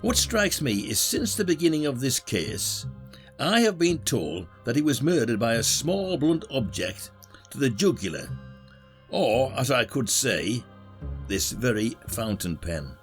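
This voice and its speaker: An actor playing a blunt, shrewd British police detective in an audio drama, with a colloquial, needling delivery. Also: male voice, mid-range voice, big pitch swings, measured pace, soft background music. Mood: methodical, accusing. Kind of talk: radio drama acting.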